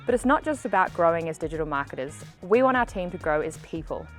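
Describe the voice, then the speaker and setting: Lower-pitched Australian woman presenting. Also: lively intonation, fast, faint music behind. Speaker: company presenter. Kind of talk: scripted recruitment video narration.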